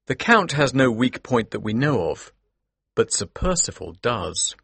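An actor playing an earnest, determined gentleman who tells a Victorian mystery in the first person, with a husky voice. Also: medium pitch, sing-song, a little slow, no echo, full character voice. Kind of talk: scripted first-person audiobook narration.

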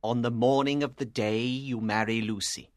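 Woman voicing a low-voiced old father. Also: fairly even intonation, darkish tone, no echo, clean studio sound. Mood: alarmed, firm. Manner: measured, fatherly. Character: old doctor, a gentle, troubled, devoted father.

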